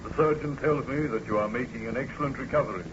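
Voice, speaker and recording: An actor playing a patient, courteous, formal ship's captain in an old radio serial. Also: male voice, medium pitch, fast talker, lightly acted. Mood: considerate. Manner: polite, formal. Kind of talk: 1930s-40s radio serial acting. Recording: muffled, very noisy old radio recording.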